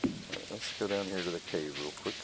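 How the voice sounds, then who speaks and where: Deep-voiced man over loud background noise. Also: a little fast. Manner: thinking aloud in short, low-key remarks. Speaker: gaming streamer. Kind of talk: casual let's-play commentary. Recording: loud noise with rain-like clicking.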